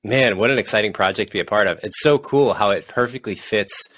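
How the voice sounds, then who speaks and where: A man's voice: lowish voice, brisk, fairly bright tone, no echo. A man hosting a podcast interview, speaking enthusiastically to his guest. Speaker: podcast host.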